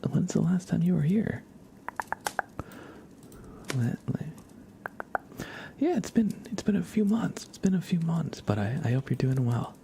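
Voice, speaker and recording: A man hosting an ASMR livestream, chatting casually and gently with viewers in a soft, breathy voice that often drops into a whisper, close to the microphone. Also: on the high side, slight background noise. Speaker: livestream host.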